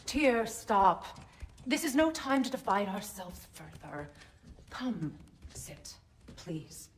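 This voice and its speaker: An actress playing a proud, resolute warrior goddess in a video game, earnest and deliberate. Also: female voice, medium pitch, sing-song, husky, full character voice. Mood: urgent. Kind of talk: dramatic video game acting.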